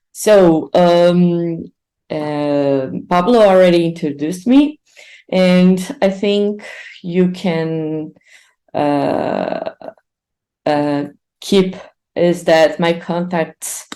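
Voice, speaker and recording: Slow, mellow-voiced Brazilian woman speaker. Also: sits low. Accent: Brazilian Portuguese. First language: Portuguese. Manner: hesitant, many fillers and restarts. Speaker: conference speaker. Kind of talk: online conference talk.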